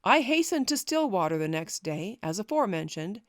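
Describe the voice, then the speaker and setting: A woman narrating a historical memoir as an audiobook, reading in a measured, formal way. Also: medium pitch, sing-song, no echo, studio quality.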